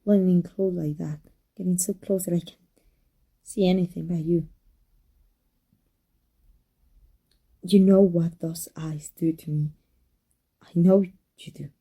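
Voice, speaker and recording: A woman with a Mexican accent playing a proud, secretly devoted villainess in an intimate ASMR roleplay, halting and emotional. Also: lowish voice, steady tone, dark tone, husky, poor audio. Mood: flustered, yielding. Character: proud, flustered, secretly devoted villainess with life-transfer power.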